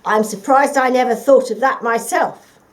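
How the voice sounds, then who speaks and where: A woman's voice: a little high, normal speed, voice pushed up, lightly acted. A woman voicing a gullible, anxious male guard dog in a storybook, emphatic and plaintive. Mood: delighted.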